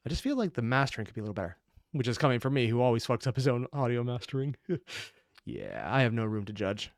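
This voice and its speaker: A man's voice: mid-range voice, very animated, fast talker, dry recording, clean studio sound. A man giving casual, sweary, exclamatory reaction commentary as the host of a video.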